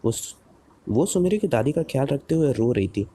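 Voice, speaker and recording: An excitable boy superhero from a cartoon dub, acted by a man, with a mid-pitched, mellow voice. He sounds earnest and pleading, tripping over repeated words when flustered. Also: moderate pace. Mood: musing.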